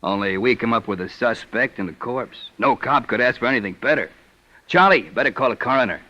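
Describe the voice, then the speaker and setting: An actor playing a wry, sardonic small-town police captain who needles a suspect, in a vintage radio detective drama. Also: male voice, mid-range voice, animated, fairly quick, pushed and bright. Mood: smug, firm. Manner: drawling, sardonic, calls the suspect son. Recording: dull vintage radio recording.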